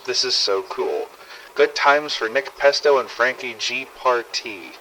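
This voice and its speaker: A brash American tourist brags in a bright, punchy voice. He is slangy, clueless and full of himself, as in a video game audio log with ambient noise behind. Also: mid-range voice, a little slow, low-quality recording. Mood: cocky, excited. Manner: slangy, cocky. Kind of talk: game audio log, letter read aloud.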